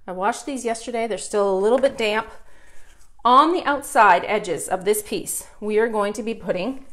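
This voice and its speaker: A woman's voice: mid-range voice, normal speed, fairly bright tone. A woman in her thirties with a Canadian accent, chatting through a live furniture painting tutorial while she works in a room at home. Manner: chatty, talks while working, asks viewers questions.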